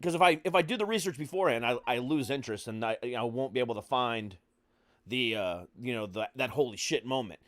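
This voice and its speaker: A man hosts a podcast in a loose, conversational interview style. His voice sits at a medium pitch and he talks informally, with easy swearing. The sound is dry, with very little room in it. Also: fairly quick, no room sound. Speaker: podcast host. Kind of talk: casual interview chat. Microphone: video call.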